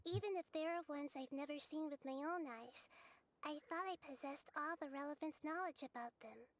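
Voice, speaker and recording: A woman playing a small, wise, childlike deity in a video game, composed, articulate and gently confident. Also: sits high, fairly quick. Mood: curious, composed. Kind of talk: scripted video game acting.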